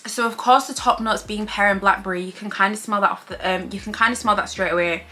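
A woman's voice: medium pitch, fairly even intonation, fast, pushed and bright. A young woman from Leeds with a Yorkshire accent, chatting casually to camera as a beauty vlogger in her bedroom. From Leeds, England. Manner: bubbly, talks with her hands. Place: bedroom, indoors.

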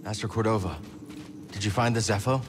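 A man acts a young Jedi survivor in a video game. He speaks in a lowish voice with sincere, laconic understatement and a touch of weariness. Noisy ambience sits behind the words. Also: fairly even intonation, brisk, natural voice. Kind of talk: video game cutscene acting.

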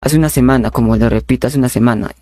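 A young man making a prank phone call as a polite, insistent recruiter, halting and repeating his words. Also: medium pitch, dark tone, no echo, studio quality. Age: young adult. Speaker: prank caller. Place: small bedroom studio indoors. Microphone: condenser microphone on a boom arm, close.

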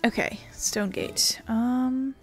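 A woman's voice: on the high side, fairly even intonation, extremely slow. A woman hosting a gaming video with casual let's play commentary, sometimes reading text aloud. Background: video game music.

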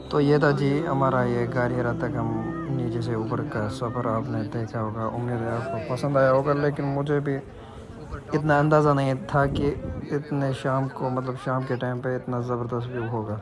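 A man from Kashmir narrates his climb up a crowded mountain path at dusk, recorded on a handheld phone. His voice is mid-pitched and keeps a flat, even line, with pious exclamations scattered through the talk. Loud music runs under everything he says. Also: moderate pace. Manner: flat, steady delivery with frequent pious exclamations. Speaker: travel vlogger. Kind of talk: casual vlog narration while climbing.

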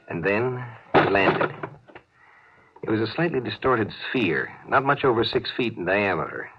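An actor narrating a 1950s science-fiction radio drama as a grave, suspenseful storyteller. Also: male voice, sits low. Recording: dull, slightly noisy 1950s radio broadcast.

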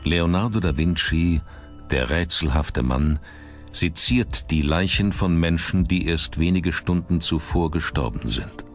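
Deep-voiced male documentary narrator. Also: animated, a little slow. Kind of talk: scripted narration. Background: background music.

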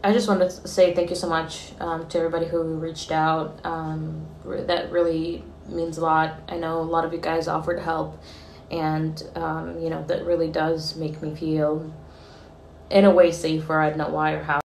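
A young woman from Ukraine talks into a phone held at arm's length. Her voice sits low and flat as she speaks in an earnest, shaken way, pausing and restarting often. The room echoes around her, and a faint hiss runs underneath. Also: gravelly. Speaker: vlogger. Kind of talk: selfie video diary. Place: small room indoors, street outside for some clips.

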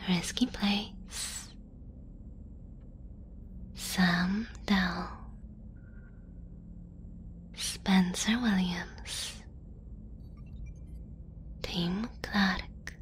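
A woman whispering softly and breathily in an ASMR style, as a creator addressing listeners. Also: medium pitch, fairly even intonation, very slow, long pauses. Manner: whispering, soft and breathy delivery. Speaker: ASMR channel creator thanking listeners and patrons.

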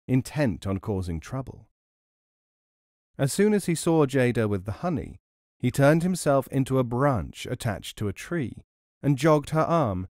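A man's voice: mid-range voice, darkish tone, no room sound. Man narrating a storybook, dry studio sound. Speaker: audiobook narrator.

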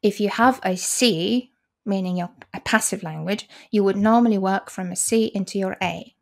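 A woman's voice: medium pitch, moderate pace, gravelly, a little mellow. Polish lady, 40s, talking through her job on a video call, lots of um and restarts. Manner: explanatory, frequent restarts and fillers, occasional laughs. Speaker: conference interpreter, guest. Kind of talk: casual interview over video call. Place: small room indoors. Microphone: video call, desk mic.

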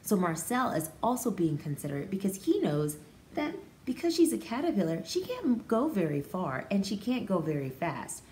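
An American woman reads a picture book to small children in an echoey room with faint noise behind her. Her voice is mellow and very animated, and she pauses to explain things with emphasis, like a teacher. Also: mid-range voice. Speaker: pre-K teacher. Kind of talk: picture book read-aloud with commentary for children.

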